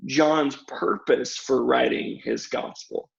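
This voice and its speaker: A man talks as a guest in a relaxed intellectual discussion. His voice has a gravelly fry to it. He is agreeable and emphatic, and the audio is somewhat dull and lo-fi. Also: mid-range voice, slow pace. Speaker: podcast guest. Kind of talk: casual theological discussion.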